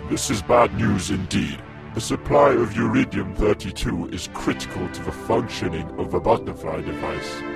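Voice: low voice, normal speed. A man voicing cold, mechanical Cybermen who issue commands in a fan audio drama. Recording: loud music bed.

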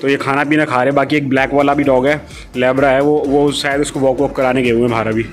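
A man's voice: mid-range voice, flat delivery, fast, faint music behind. A man vlogging a walking tour of a dog-friendly cafe, explaining everything in a chatty way while filming on his phone. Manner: chatty, explaining as he walks, filler-heavy. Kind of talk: casual walkthrough vlog. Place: dog cafe, indoor-outdoor seating.

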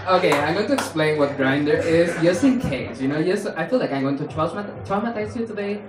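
A man's voice: a little fast, crowd noise. A young man from Spain with a Spanish accent, performing a playful stand-up set on stage with a handheld mic in a small club. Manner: animated, playful, jumps into high mock voices for quotes. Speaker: stand-up comedian. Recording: roomy club sound from far off, audience laughing.

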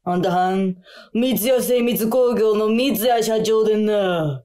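A woman's voice: medium pitch, a little slow, dark tone, natural voice. A woman acting a menacing, crude loan shark with a thick Kansai accent in a radio drama. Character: threatening loan shark, menacing and crude, old Osaka slang.

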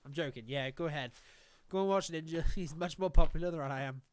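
A young Welsh man with a Welsh accent, streaming a survival game from a small room at home on a headset mic, excitable and joking as he talks to the game and to chat. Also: a little high, a little fast, smooth. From Wales. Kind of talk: live gameplay commentary.

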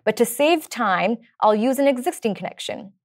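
A woman's voice: on the high side, animated, moderate pace. A woman in her thirties giving a scripted product explanation as a guest expert in a studio. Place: studio set at a desk.

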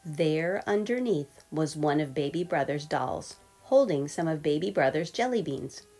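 Low-pitched woman reading a children's story. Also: lively intonation, a little slow. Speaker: storybook reader. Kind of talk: expressive read-aloud for young children. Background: soft background music.

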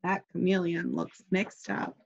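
A woman's voice: on the low side, level tone, unhurried, vocal fry. A young woman teacher reading a picture book aloud to children, expressively and prompting them with questions. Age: young adult. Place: small bedroom indoors. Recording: dull lo-fi webcam sound.

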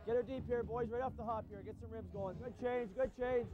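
A hockey coach shouting short instructions and encouragement to his players from the bench, recorded on a worn mic in an arena. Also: extremely high pitch, fairly even intonation, fairly quick. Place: indoor ice arena bench. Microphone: wireless mic worn on the body. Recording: dull lo-fi body mic.

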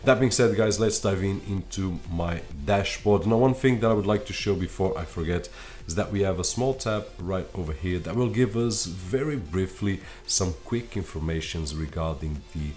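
A man with a very low voice and a Portuguese first language talks viewers through tech gear from his home office desk. He is chatty and direct, with plenty of fillers, in his thirties. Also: normal speed, a little mellow. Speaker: tech reviewer. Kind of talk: tech review to camera.

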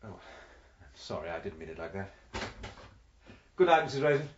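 An actor playing a testy, sardonic military gentleman in a radio drama, well-mannered with a sarcastic edge. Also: low voice, very animated, fairly quick, muffled recording, a little hiss or hum. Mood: awkward, genial.

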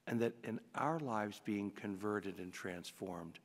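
A middle-aged priest speaks from a lectern microphone, preaching in an earnest, conversational way. His voice sits on the low side. The sound carries the long reverb of a large church. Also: takes it slowly, creaky. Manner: earnest, conversational preaching with frequent "you know". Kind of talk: homily at Mass.

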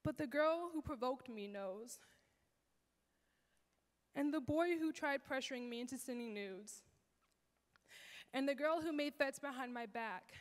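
Teen girl, high voice, hall reverb. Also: a little slow, long pauses. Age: teens. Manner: earnest, rhythmic recitation. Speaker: teen performer. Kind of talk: spoken word performance. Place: church stage, large hall. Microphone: handheld mic.

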